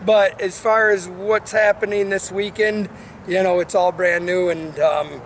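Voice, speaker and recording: A man's voice: high voice, level tone, unhurried. A man who coaches college soccer, giving a casual interview answer over some ambient noise.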